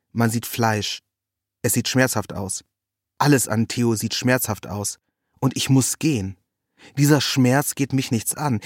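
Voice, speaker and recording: Male narrator for literary first-person prose, mid-range pitch, very dry studio-like sound. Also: no echo.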